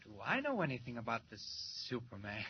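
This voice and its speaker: An actor playing a polite, eager young reporter in a 1940s radio drama, deferential in manner. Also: male voice, mid-range voice, wildly swooping pitch, fairly quick. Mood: polite, knowing. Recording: muffled noisy old radio recording.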